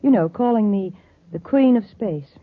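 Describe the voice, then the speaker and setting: An actress playing a vain, scheming woman executive in a 1950s radio space serial, brisk and self-satisfied. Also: medium pitch, lively intonation, close to an everyday voice. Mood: smug. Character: vain, scheming female boss of space line. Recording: tinny, phone-like old radio recording.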